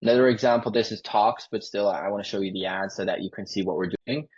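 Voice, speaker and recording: A man giving an informal sales pitch on a live call, peppering his explanations with "like". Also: fast, husky. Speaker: sales closer for a marketing agency. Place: online sales call with screen sharing. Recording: dull lo-fi call audio.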